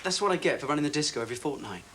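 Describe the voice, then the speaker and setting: A quick-witted local radio DJ. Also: male voice, fairly high pitch, lively intonation, fairly bright tone, a little hiss or hum. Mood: stubborn. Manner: jokey patter, teasing. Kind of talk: 1980 TV drama acting.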